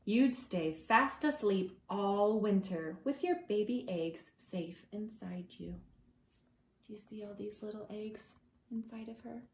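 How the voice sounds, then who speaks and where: Woman, preschool teacher, children's storytime read-aloud, slow pace, gentle expressive delivery, medium pitch, roomy echo. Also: a little hiss or hum. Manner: gentle, deliberate, drawing out words for young listeners. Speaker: preschool teacher reading a picture book.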